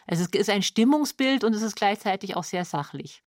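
A woman's voice: mid-range voice, animated, a little fast, no room sound, clean studio sound. A woman who works as a radio drama editor, explaining her work in an interview in a conversational way with examples.

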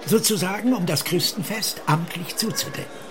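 Higher-pitched man reading literary text. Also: moderate pace. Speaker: reader.

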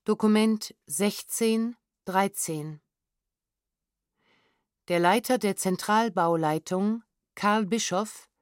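A woman's voice: mid-range voice, a little mellow, dry recording, clean studio sound. A woman narrator giving a formal, factual reading of archival documents, restrained and precisely enunciated. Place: studio.

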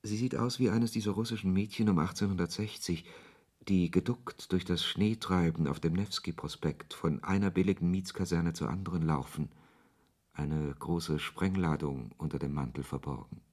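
A man's voice: normal speed, a little mellow. An actor playing a brooding, ironic author who narrates his own story in a radio play, with a literary, sardonic manner. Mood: reflective narration. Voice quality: low, husky voice. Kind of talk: first-person radio play narration and dialogue.

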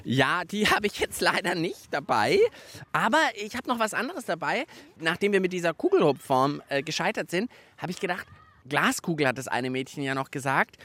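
Man host for kids' radio, high and lively, playful hands-on chatter. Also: moderate pace. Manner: animated and playful, narrates what he is doing.

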